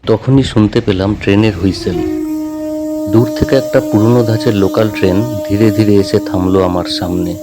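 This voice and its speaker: A man's voice: on the low side, sing-song, normal speed. A man giving a scripted first-person narration of a horror story. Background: music.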